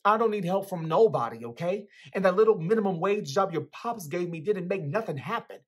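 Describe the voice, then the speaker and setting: A man's voice: high-pitched, expressive, a little fast, breathy edge. A young man with an American accent playing a guarded, streetwise teenage boy in a dramatic book reading. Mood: defensive. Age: young adult. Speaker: actor reading a character's dialogue. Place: indoors in a small plain room. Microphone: video call, close to computer.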